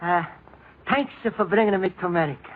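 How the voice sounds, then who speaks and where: A man's voice: sits high, animated, a little fast. A man playing a timid, good-hearted Italian immigrant in old radio comedy, hesitant and stammering in broken English with an Italian accent. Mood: anxious, hopeful. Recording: tinny, hissy old radio recording.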